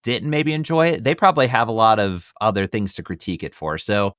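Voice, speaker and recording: A man's voice: fairly low pitch, brisk, a bit bright, no echo, clean studio sound. A man hosting a movie review podcast in casual conversation, often hedging and circling back over his words. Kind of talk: casual film review chat.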